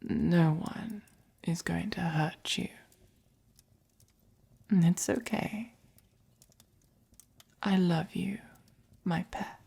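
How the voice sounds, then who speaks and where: Soft dom vampire for ASMR roleplay, female performer, English accent, breathy whisper with a creaky edge, unhurried, possessive yet tender. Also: fairly low pitch, exaggerated voice. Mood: soothing, affectionate. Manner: slow, coaxing, possessive. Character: doting, possessive, gently dominant vampire owner of a human pet.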